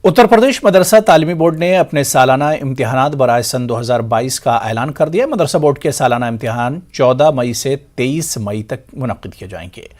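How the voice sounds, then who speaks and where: A man reading scripted news as an anchor, in a formal and measured manner. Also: mid-range voice, lively intonation, a little mellow.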